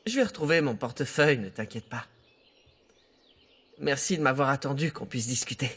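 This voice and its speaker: An actor playing a hesitant, apologetic man with a raspy voice. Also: medium pitch, moderate pace, a bit bright. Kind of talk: dubbed drama acting.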